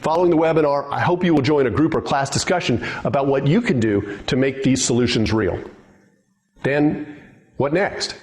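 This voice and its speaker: A man giving a steady, rallying scripted address to open a webinar. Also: mid-range voice, normal speed. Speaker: speaker in a recorded webinar intro.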